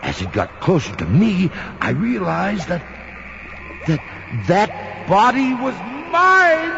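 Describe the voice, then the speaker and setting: An actor playing a haunted, melodramatic man who tells a ghoulish horror tale with theatrical pauses and repetitions. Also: high-pitched, wildly swooping pitch, vocal fry, noisy background, full character voice. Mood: terrified, frantic. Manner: theatrical, halting repetitions for suspense. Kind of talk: dramatic horror storytelling. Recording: dull recording under loud music.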